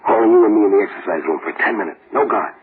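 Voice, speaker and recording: An actor playing a streetwise death-row convict who talks in tough slang, in old radio drama style. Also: male voice, on the low side, rapid-fire, close to an everyday voice. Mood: scheming. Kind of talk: 1940s radio acting. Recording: tinny hissy old radio broadcast.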